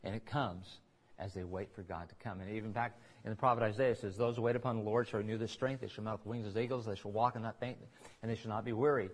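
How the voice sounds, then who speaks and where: A man preaching a conversational sermon, pausing for asides and restarting phrases as he works through scripture. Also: on the low side, rough recording. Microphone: recorded on tape in a church.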